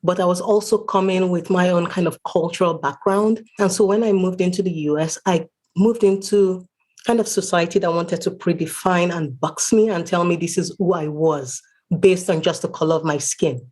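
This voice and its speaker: Female author from Nigeria, somewhat low-pitched, giving earnest, conversational explanations as an interview guest. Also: fairly even intonation, normal speed, darkish tone.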